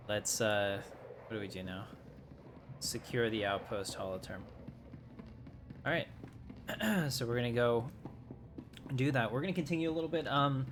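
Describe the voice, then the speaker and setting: A man giving casual, easygoing live gameplay commentary, thinking aloud as he plays. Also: fairly low pitch. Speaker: gaming streamer.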